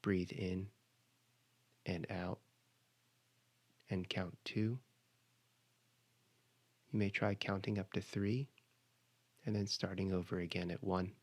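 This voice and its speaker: A man leading a guided meditation, giving measured, instructional cues with pauses between phrases. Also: low voice, flat delivery.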